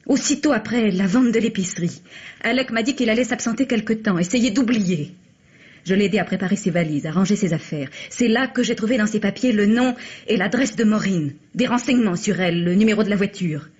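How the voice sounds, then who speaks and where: An actress plays an earnest woman pleading to be believed, in a husky, mid-pitched voice. It is old-fashioned French radio drama with a confessional manner and dull, dated sound. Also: a little fast, a little mellow, lightly acted. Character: pleading, earnest young sister of a suspect.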